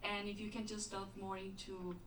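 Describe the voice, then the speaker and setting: A woman's voice: medium pitch, flat delivery, normal speed, a bit bright, slight background noise. A woman from the audience, a native Spanish speaker, politely asking curious questions. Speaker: audience member. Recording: echoey, distant mic.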